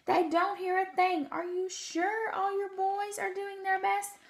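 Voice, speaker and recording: A woman's voice: extremely high pitch, flat delivery, measured pace, pitched above the performer's own voice. A woman voicing an earnest, urgent elephant in a storybook read-aloud, pleading emphatically. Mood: urgent, encouraging. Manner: emphatic, urging.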